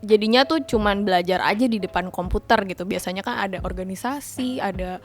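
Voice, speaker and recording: A woman's voice: medium pitch, a little fast, soft background music. A young woman with an urban Jakarta accent hosting a casual interview in a chatty, informal way, mixing in English words. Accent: urban Jakarta Indonesian. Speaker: host.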